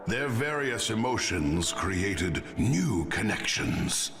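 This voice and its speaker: Grave slow anime game narrator, deep creaky voice, big music behind. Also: male voice, sing-song, full character voice. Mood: solemn. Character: solemn storyteller narrator of a ninja war saga.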